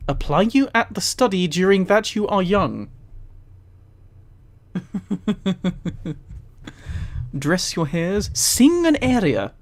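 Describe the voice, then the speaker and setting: A man with a fairly high voice streams a casual read-aloud, chatting as he goes. His delivery is informal and giggly, that of someone easily amused by the material. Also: sing-song, takes it slowly, long pauses. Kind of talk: casual livestream reading with amused commentary.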